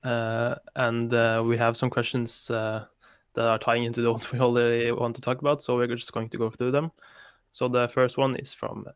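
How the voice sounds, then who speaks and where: A man hosting a podcast, his delivery level and flat. The recording is dry and close, with no room sound, and he stays relaxed and easy. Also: medium pitch, moderate pace, clear, resonant tone, dry recording. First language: Norwegian. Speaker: podcast host. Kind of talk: casual podcast chat, reading listener questions.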